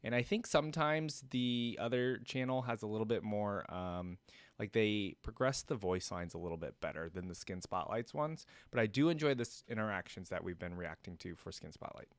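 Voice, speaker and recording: A man's voice: lowish voice, moderate pace, no room sound. A man giving casual, rambling reaction commentary as a video host, thinking aloud. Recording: music playing behind.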